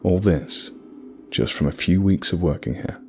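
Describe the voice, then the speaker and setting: Deep-voiced man narrating a horror tale. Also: vocal fry, faint music behind. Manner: low, measured storytelling delivery. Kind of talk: scripted horror story narration.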